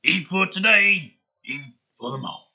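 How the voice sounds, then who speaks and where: A man playing an over-the-top fast-food commercial announcer, drawing out his words in an exaggerated radio pitch. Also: sharp tone, exaggerated voice. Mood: hammy, enthusiastic. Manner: drawn-out, exaggerated. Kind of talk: mock radio advertisement.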